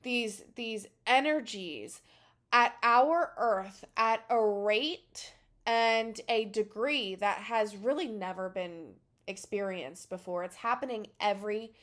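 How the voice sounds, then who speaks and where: Woman, 30s, Philadelphia accent, mid-pitched, loose conversational spiritual talk full of ums. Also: slow, fairly bright tone. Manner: rambling, conversational, many fillers. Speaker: spiritual channel. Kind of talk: casual spiritual talk to camera.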